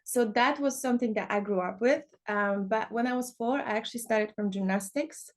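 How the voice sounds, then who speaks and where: A woman's voice: a little high, a little fast. A young woman from Poland, a podcast guest talking casually about teaching dance. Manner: chatty, many fillers like "you know".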